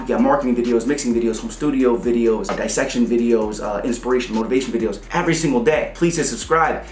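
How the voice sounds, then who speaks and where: A man in his thirties hosting a casual, emphatic explainer, using slang and repeating points for clarity. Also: mid-range voice. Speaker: host of a music business channel for rappers. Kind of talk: casual explainer talk to camera. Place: small home studio room, indoors. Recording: roomy indoor echo.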